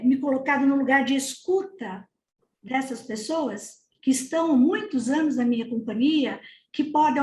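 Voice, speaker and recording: A woman's voice: sits high, a little mellow, slight background noise. A woman speaking earnestly on a panel, asking rhetorical questions. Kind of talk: webinar panel discussion. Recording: echoey room.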